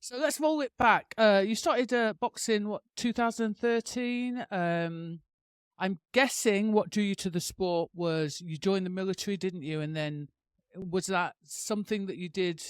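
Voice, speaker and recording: A woman hosts a podcast interview and asks her guest questions in a direct, conversational way. Her voice is smooth and clear, at an ordinary pitch for a woman, and sounds dry and close to the mic. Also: unhurried, no room sound. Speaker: podcast host. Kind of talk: interview host.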